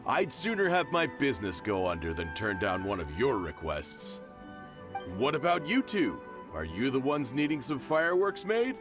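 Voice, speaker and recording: A kindly middle-aged shopkeeper in a game, generous and quick to chuckle. His pitch rises and falls a great deal. Game music plays clearly behind him. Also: huge pitch swings. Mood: jovial. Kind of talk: English game dub.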